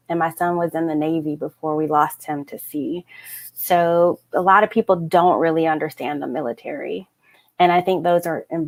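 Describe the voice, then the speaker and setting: A woman's voice: low voice, creaky. A woman author and veteran on a panel, speaking directly and earnestly over a video call.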